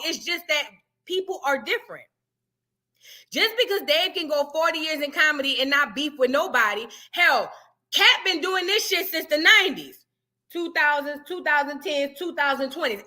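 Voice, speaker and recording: A woman's voice: high-pitched, sharp tone. A woman giving blunt, questioning commentary as she reacts to a clip.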